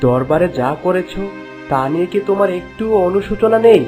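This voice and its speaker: A man's voice: sits high, animated, a little fast, a little mellow. A man plays a young fairy-tale prince, stern and reproachful. It is cartoon dubbing. Light music runs behind the voice. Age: young adult. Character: stern young prince of twenty-eight, devoted to his mother.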